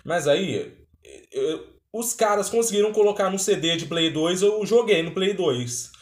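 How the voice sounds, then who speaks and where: A man's voice: high voice, a little slow. A man with a Brazilian accent giving casual, conversational reaction commentary as the host of a video. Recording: roomy, echoey sound.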